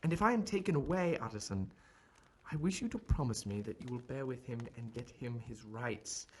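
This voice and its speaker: A man acting in an audio drama as a genial but guarded Victorian gentleman doctor, speaking in formal, courteous, earnest phrases. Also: medium pitch, expressive, normal speed, a little hiss or hum, natural voice. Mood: earnest, pleading.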